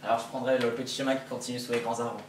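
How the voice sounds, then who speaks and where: A student actor playing a sly, hungry male wolf who coaxes gently, heard from far off in an echoey school hall. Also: mid-range voice, level tone, rapid-fire, noisy background, close to an everyday voice. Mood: coaxing. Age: teenager. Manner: gentle coaxing, feigned sweetness. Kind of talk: school stage play. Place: school stage.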